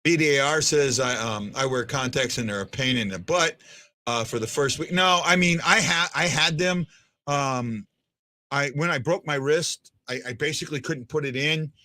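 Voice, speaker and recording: A man's voice: a little high, moderate pace, bright, forceful tone, no room sound. A man in his fifties hosting a hockey fan show as a casual livestream chat, blunt and prone to repeating himself. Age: 50s. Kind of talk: casual livestream chat answering viewers' comments.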